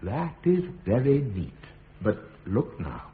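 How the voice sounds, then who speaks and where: An actor playing a gentle, principled, loving father in prison, expressive and reflective, in a radio drama. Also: on the low side, slow pace, exaggerated voice. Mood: earnest, reflective. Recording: narrow, noisy radio recording.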